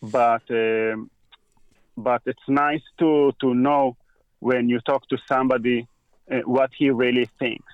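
Israeli-accented male guest, halting unhurried delivery, dry slightly dull recording. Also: medium pitch, fairly even intonation, clean tone, no echo. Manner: halting, with frequent pauses and restarts. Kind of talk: casual conversation.

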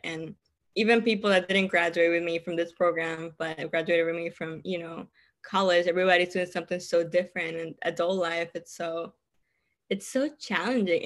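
A woman in her twenties from Cuba with a Cuban Spanish accent, chatting casually as a podcast guest and laughing often. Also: lowish voice. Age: 20s. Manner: laughs often while talking. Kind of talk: casual interview chat.

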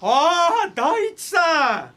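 A man's voice: extremely high pitch, very animated, drawn-out words, sharp tone, a little hiss or hum, exaggerated voice. An actor playing an eager, polite junior film crewman in a radio drama, chatty and deferential. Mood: excited, friendly.

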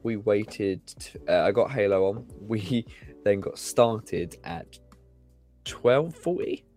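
A man's voice: lowish voice, takes it slowly, a little mellow, faint music behind. An eighteen-year-old man co-hosting a casual podcast, throwing in dry, sardonic quips. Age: late teens. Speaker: podcast co-host. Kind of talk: casual late-night podcast chat.

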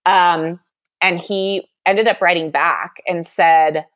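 A woman's voice: lowish voice, steady tone, slow pace, fairly bright tone, no room sound. A young adult woman telling a candid, exasperated dating story with lots of "like" in a casual chat.

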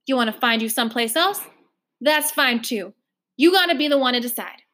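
A woman's voice: a little high, lively intonation, brisk, fairly bright tone. A woman voicing a stubborn older foster mother who speaks in folksy country dialect, in a storybook reading. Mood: earnest. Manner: bellowing, folksy dialect, emotional.

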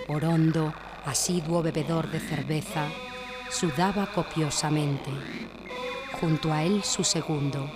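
A man giving measured, literary dramatic narration for a radio play. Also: on the high side. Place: live stage in a library before an audience.

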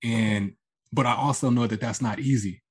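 A man's voice: medium pitch, fairly even intonation, normal speed, darkish tone, husky. A man hosting an interview podcast, affirming his guest and restarting his sentences as he asks questions. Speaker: podcast host. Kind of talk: casual interview conversation.

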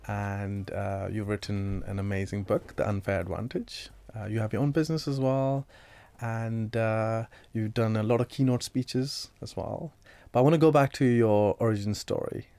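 A man hosting an interview, soft-spoken and giving frequent agreeing murmurs. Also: lowish voice, fairly even intonation, normal speed, dry recording, faint music behind. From India. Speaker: podcast host. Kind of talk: interview questions.

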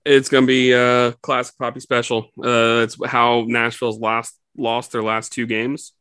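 A man's voice: mid-range voice, unhurried, clean tone, dry recording, studio quality. A man co-hosting a sports podcast, chatting casually with frequent fillers and easy laughs. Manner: relaxed, laughs often, many fillers. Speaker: podcast co-host.

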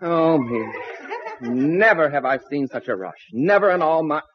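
An actor playing a fussy, exasperated department store floorwalker, prissy and theatrical, in a 1940s radio comedy. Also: male voice, fairly high pitch, expressive, a little slow, crowd noise. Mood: indignant. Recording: noisy, muffled 1940s radio broadcast.

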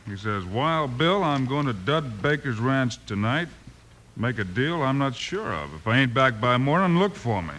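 An actor playing a calm, commanding frontier marshal in a 1950s radio western, level-headed and laconic, with an American Western accent. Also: male voice, mid-range voice, moderate pace. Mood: easygoing. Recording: noisy vintage radio recording, clicks.

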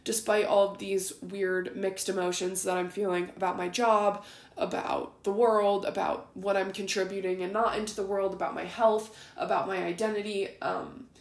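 A woman in her twenties hosting a podcast, rambling candidly in a raspy, groggy voice with plenty of ums. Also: mid-range voice, flat delivery, moderate pace, roomy sound. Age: 20s. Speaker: podcast host. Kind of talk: casual solo ramble.

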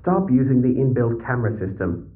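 An actor playing a gruff, irritated older brother in an audio drama, heard through an intercom-like filter. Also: male voice, mid-range voice, big pitch swings, a little fast, narrow, tinny audio. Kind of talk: audio-fiction drama dialogue.

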